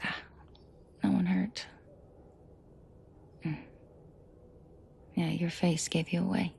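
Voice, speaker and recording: A woman playing a protective mafia boss in an intimate audio drama, soft and breathy with frequent whispering, commanding and dryly teasing. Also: fairly low pitch, flat delivery, takes it slowly, a little hiss or hum, heavily acted. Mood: tender, teasing. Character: protective female mafia boss, menacing to henchmen, tender to victim.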